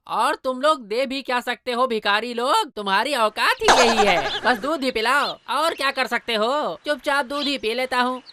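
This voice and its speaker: A woman's voice: sits high, moderate pace, hardly any pauses, very noisy, close to an everyday voice. A woman voicing a sly, greedy, boastful tomcat in a children's cartoon, with a scheming and pompous manner. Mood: scornful. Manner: scheming, pompous, hesitant when covering up. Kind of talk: animated children's story voice acting. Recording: background music.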